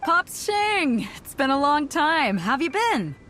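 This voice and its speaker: A woman's voice: mid-range voice, sing-song, moderate pace, over music, lightly acted. An actress playing a confident, breezy, commanding female ship's captain in a video game. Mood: friendly, assured.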